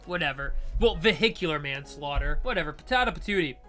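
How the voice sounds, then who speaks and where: A woman's voice: low-pitched, lively intonation, fast, pushed and bright, soft background music. A woman hosting a let's play, giving casual, rambling, jokey commentary on a visual novel. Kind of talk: casual game commentary with character reading.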